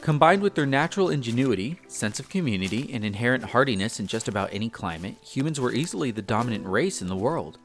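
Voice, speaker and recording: A man's voice: mid-range voice, lively intonation, fast talker, hardly any pauses, faint music behind, close to an everyday voice. A man playing an earnest, bookish young elf apprentice in a roleplay audio drama, chatty and a little hesitant, easily flustered. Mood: earnest, explaining. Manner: hesitant, chatty, explains eagerly. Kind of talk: scripted roleplay audio drama addressed to the listener.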